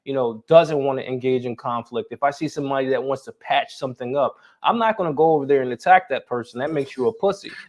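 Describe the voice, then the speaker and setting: Male interview host, American accent, average pitch. Conversational, boastful, foul-mouthed. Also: fast talker, hardly any pauses. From North Philadelphia and Brooklyn, United States. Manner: boastful, profane. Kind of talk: casual conversation.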